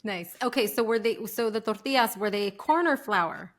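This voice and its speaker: A woman's voice: medium pitch, normal speed. A woman with an American accent hosting a casual interview in an informal, enthusiastic way. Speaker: podcast host, interviewer.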